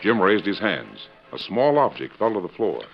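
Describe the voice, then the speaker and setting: Dramatic low-voiced radio serial narrator. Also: male voice, very animated, moderate pace, gravelly, heavily acted. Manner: dramatic, declamatory. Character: dramatic announcer narrating a western adventure serial. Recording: dull old radio recording over music. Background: music.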